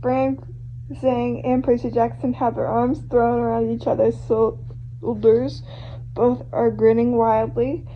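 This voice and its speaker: A woman's voice: a little high, level tone, takes it slowly. A woman reading scripted social media fan fiction as an amateur narrator, on a homemade recording. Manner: acts out quoted posts expressively. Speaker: amateur podfic reader. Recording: muffled, noisy amateur home recording.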